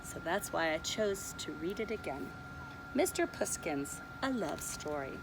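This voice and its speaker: A preschool teacher reading a picture book aloud to young children, slowly and expressively, pointing out the pictures. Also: female voice, on the low side, noisy background. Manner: slow, expressive read-aloud, showing pictures.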